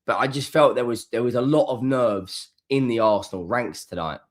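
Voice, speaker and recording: A hoarse man hosts a solo football reaction, talking emphatically and often repeating key words for stress. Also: mid-range voice, normal speed. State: hoarse, coming down with flu. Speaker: football channel host. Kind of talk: solo post-match reaction.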